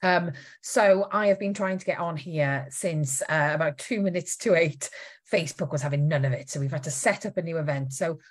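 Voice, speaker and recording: A woman's voice: sits low, moderate pace. Chatty Welsh woman hosting a live stream. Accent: faint Welsh. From Wales. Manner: chatty, lively, reads out viewer comments with little laughs. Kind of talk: casual live-stream chat.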